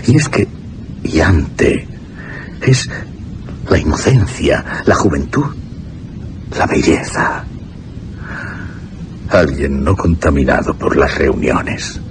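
An actor playing an earnest, dreamy young English gentleman archaeologist in a Spanish radio drama. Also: a bass voice, slow pace, long pauses, noisy background, full character voice. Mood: enraptured. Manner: dreamy, expressive delivery. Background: music behind the voice.

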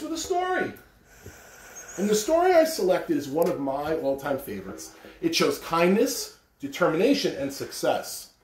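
Animated American man, roomy distant recording. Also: high voice, a little hiss or hum. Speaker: school principal or staff announcer and story reader. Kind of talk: morning announcements and storybook read-aloud to children. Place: carpeted living room at home.

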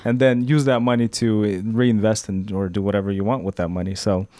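A man in his thirties chatting in a relaxed, easygoing way on a podcast about property, recorded on a boom mic in a small studio. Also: a little fast, no echo. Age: 30s. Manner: relaxed, easygoing, lots of agreeing. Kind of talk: casual podcast chat. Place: small studio with brick wall. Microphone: boom mic on arm.

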